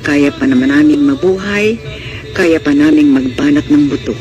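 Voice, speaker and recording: A performer playing a modest, proud Filipino wife of sixty in a classic radio drama, earnest and measured. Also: medium pitch, music in the background, close to an everyday voice. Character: modest, proud sixty-year-old provincial wife and mother.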